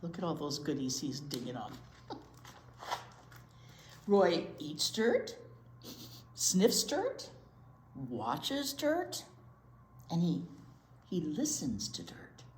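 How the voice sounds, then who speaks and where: A woman reading a picture book aloud to young children in an expressive way, pausing to explain words simply. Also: fairly low pitch. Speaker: library storytime presenter, children's teacher. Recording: roomy echo, light background noise.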